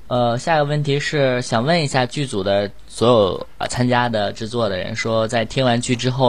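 A man hosting a group interview, asking questions in a casual, chatty way. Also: lowish voice.

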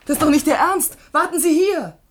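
An actress playing a polite, easily flustered professor's secretary in a German radio play, somewhat hesitant. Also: female voice, high-pitched, a little fast, natural voice.

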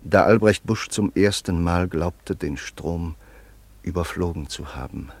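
Radio play: a loyal old friend, deep, husky, slow and grave. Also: male voice, exaggerated voice. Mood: solemn remembering. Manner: measured, grave narration. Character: solemn middle-aged man, loyal old friend. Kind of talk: 1930s period radio drama.

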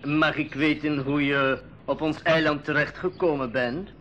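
A man playing a welcoming, courteous islander in a 1980s educational radio play, speaking deliberately. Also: a little high, fairly even intonation, a bit bright. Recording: slightly dull, noisy 1980s radio recording.

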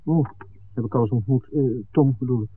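Wary visiting film maker played by a man, casual and questioning, medium pitch, old radio drama. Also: a little fast, natural voice. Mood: cautious. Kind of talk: 1960s radio play acting. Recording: tinny old radio recording with noise.

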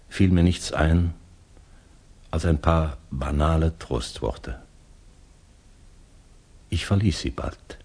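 An actor playing a reserved, pedantic English gentleman teacher in a 1960s German radio drama, subdued, formal and a little hesitant. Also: low voice, slightly rough, heavily acted.

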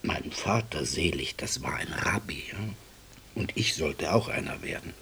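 An actor playing a gentle, devout librarian in a radio drama, reverent and reflective, with a husky breathy voice. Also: male voice, very deep voice, expressive, unhurried, in a whisper, heavily acted. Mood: reverent, wistful. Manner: reverent, reflective storytelling with deferential asides.

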